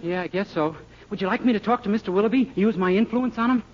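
An actor playing a naive, eager young clerk, guileless and deferential, in a 1940s radio sitcom. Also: male voice, high voice, fast. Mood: meek, sheepish. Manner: guileless, gee-whiz, deferential. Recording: muffled, very noisy 1940s radio recording.

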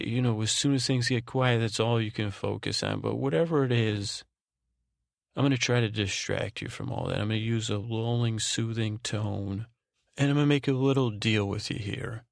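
Male podcast host, gravelly fry, mid-pitched, halting rambling bedtime delivery, dry recording. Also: no room sound. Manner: meandering, halting, repeats and rephrases himself. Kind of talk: rambling bedtime monologue and thank-you announcements.

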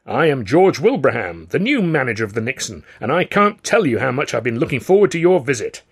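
A man voicing a dapper, fussy little theatre manager who burbles excitably in florid phrases. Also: mid-range voice, brisk, slightly rough. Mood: gushing.

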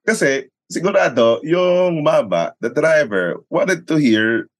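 A man's voice: fairly high pitch, lively intonation, a little slow, no room sound, studio quality. A man with a Filipino accent telling stories in casual conversation as an interview guest, acting out quotes and often checking in with tag questions. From Philippines. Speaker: sports announcer and radio commentator, interview guest. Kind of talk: casual conversational storytelling, mixing Tagalog and English.

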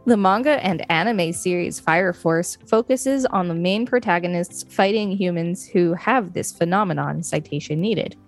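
A woman's voice: on the low side, normal speed, few pauses, soft background music. A woman co-hosting a show, reading an encyclopedia article aloud as informative narration.

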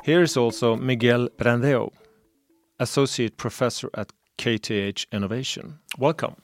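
A man hosting a podcast, reading a measured, prepared introduction. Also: mid-range voice, no room sound, soft background music. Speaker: podcast host. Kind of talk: scripted podcast intro and interview.

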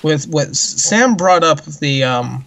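A man co-hosting a podcast in casual chat, halting and a little mock-grumpy, with other voices behind him. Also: on the high side, expressive, gravelly, a bit bright. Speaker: podcast co-host.

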